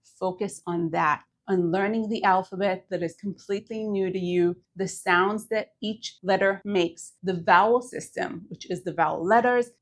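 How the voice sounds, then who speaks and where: A woman's voice: mid-range voice, normal speed, hardly any pauses. A woman from Israel with an Israeli accent, a native Hebrew speaker, giving an earnest, emphatic scripted video lesson as a Hebrew teacher.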